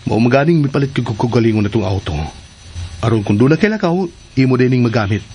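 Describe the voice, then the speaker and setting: Over faint noise comes a lively male voice of middle pitch. An actor plays an affectionate, attentive husband in a Filipino radio drama, full of concern. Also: quick pace, natural voice. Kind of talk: Filipino radio soap acting.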